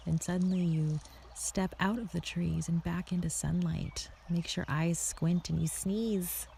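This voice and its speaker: Creaky, low-voiced woman guiding meditation. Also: mellow, a little hiss or hum. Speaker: guided meditation narrator. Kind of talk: guided meditation, second-person scripted visualization.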